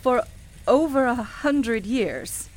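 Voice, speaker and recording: A woman's voice: fairly high pitch, expressive, takes it slowly. A woman playing a skeptical, polite and bemused city dweller in a radio play, with a hesitant, incredulous manner. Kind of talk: naturalistic radio play acting.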